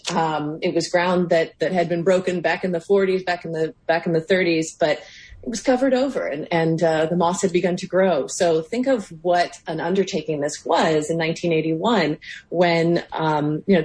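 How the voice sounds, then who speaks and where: American lady radio co-host, slightly low voice, chatty commentary. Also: normal speed, a little mellow.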